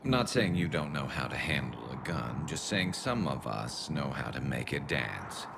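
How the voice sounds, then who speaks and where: A man voicing a cocky, wry alien soldier in a video game, gravelly, with dry teasing banter. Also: very deep voice, sing-song, normal speed, noisy background, full character voice. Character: cocky, wry male Turian alien soldier and loyal friend.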